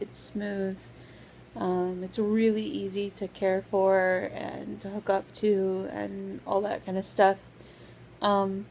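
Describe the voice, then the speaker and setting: A woman vlogger talking casually with a sore, scratchy throat, pausing often with lots of "um"s. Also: mid-range voice, gravelly, a little mellow. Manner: hesitant, full of "um" fillers. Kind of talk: casual vlog update.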